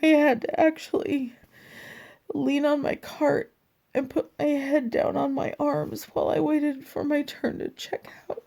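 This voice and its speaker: A woman with a raspy, hoarse voice from a lasting cough, giving a personal health update in a slow, halting, tired way with many pauses. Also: sits high, little pitch movement, dark tone. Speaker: vlogger.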